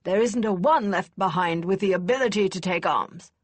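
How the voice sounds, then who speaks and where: A woman voicing a gruff older male military commander in an audiobook, curt and growling. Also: mid-range voice, moderate pace, no room sound, natural voice.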